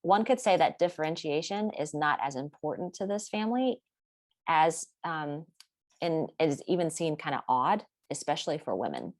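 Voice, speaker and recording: Woman, student presenter, low voice, dry close sound, steady explanatory delivery. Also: normal speed, no room sound. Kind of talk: recorded class presentation.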